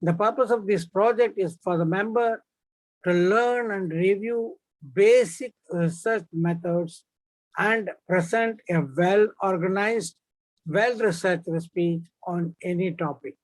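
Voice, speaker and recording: A man's voice: sits high, dry recording, poor audio. A man, formally reading out project objectives as a speech evaluator on a video call. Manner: formal, measured.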